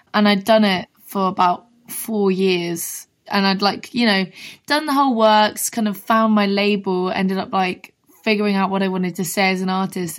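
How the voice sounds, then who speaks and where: A young woman from London with a husky voice talks easily as an interview guest. Her speech is casual and full of "like", with a mid-range pitch. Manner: chatty, peppered with "like". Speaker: singer-songwriter guest.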